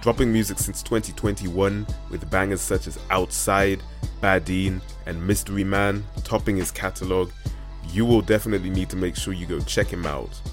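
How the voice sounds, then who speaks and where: A man's voice: deep voice, fairly even intonation, moderate pace, faint music behind. A man hosting a casual podcast interview, chatty and laid-back with plenty of slang. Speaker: podcast host.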